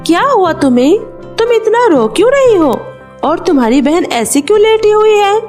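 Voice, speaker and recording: A woman voicing a kindly magical fairy in a children's story, gentle and reassuring. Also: extremely high pitch, sing-song, moderate pace, soft background music. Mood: caring.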